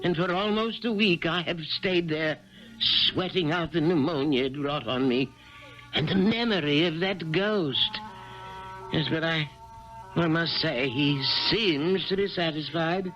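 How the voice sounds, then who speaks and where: An actor playing a pompous, blustering older Russian general in a radio drama, hemming and self-important. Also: male voice, fairly high pitch, measured pace, sharp tone. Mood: rueful confiding. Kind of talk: 1970s radio drama acting. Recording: dull old radio broadcast with music.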